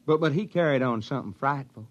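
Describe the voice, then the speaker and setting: An actor playing a dim, reluctant enlisted soldier in a 1950s radio drama, folksy, deferential and hesitant. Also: male voice, mid-range voice, quick pace, natural voice. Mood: flustered. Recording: dull vintage 1950s radio recording.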